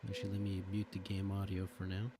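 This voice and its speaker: A man's voice: low voice, completely flat delivery, fast, background noise. A man doing casual livestream commentary on a game, thinking aloud and reminiscing informally as someone who helped make it. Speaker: streamer, former game cutscene assistant giving commentary.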